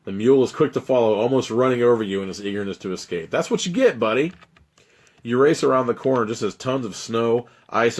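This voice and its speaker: A man with a raspy, strained voice, hosting a live stream where he reads an adventure story aloud and chats with viewers. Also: on the low side. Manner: dramatic narration, then loose rambling chat. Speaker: host reading a choose-your-own-adventure book.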